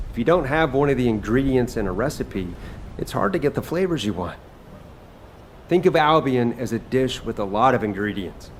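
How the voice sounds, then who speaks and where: A man's voice: medium pitch, a little hiss or hum, natural voice. A man playing an upbeat young chef and war hero in a video game, speaking in an earnest, encouraging way. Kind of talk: video game cutscene dialogue. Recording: game music behind the voice. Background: background music.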